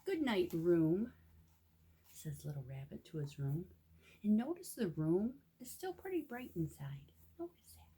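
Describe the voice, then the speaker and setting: A woman reading a picture book aloud to young children with gentle, expressive asides that sometimes drop into a hush. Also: on the low side, unhurried, slightly rough. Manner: gentle, expressive, often drops into hushed whispered asides.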